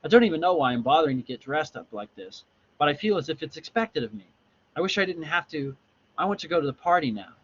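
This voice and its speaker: A man's voice: on the high side, sing-song, quick pace, fairly bright tone, breathy edge, lo-fi sound, pitched above the performer's own voice, full character voice. A man voicing a sad, dreamy young woman narrating her own dream in a dramatic story reading. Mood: dreamy, wistful.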